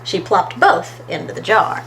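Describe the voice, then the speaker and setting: A woman reading a children's story aloud as an expressive storytime narrator, recorded with faint background noise. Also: medium pitch, unhurried. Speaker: storytime reader.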